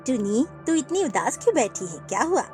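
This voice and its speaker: A kindly aunt bird from a cartoon, as a woman puts her on, talks in a warm, motherly way. She pitches it somewhat lower and keeps it soothing, with faint music behind. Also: very animated, moderate pace.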